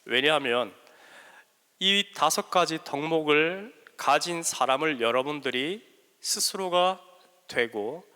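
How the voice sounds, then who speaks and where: A man's voice: fairly high pitch, lively intonation, slow, sharp tone, clean tone. A man speaking learned Seoul Korean with a lingering regional dialect, giving a joking, self-deprecating lecture to students into a handheld mic, with frequent hesitant fillers. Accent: Korean regional dialect under learned Seoul speech. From South Korea. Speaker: guest mentor lecturer. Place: large hall at a school workshop. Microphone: handheld microphone. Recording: echoey hall reverb.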